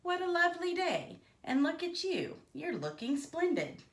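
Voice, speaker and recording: A woman plays a rude, self-important pig from a children's storybook. He talks with theatrical pomp and very lively intonation. The room adds clear echo. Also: very high voice, moderate pace, voice pushed up, heavily acted. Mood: charmed. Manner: pompous, theatrical. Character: vain, rude male pig, snidely pompous.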